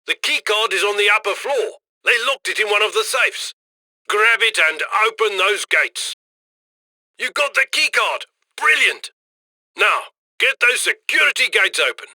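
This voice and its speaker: A man's voice: high-pitched, very animated, unhurried, sharp tone, dry recording, exaggerated voice. A man playing a cheerful, cheeky heist handler who chummily guides a robbery crew in a video game. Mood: gleeful, praising. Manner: chummy, cheeky, colloquial, gleeful about loot. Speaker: mission handler. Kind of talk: video game mission voice lines.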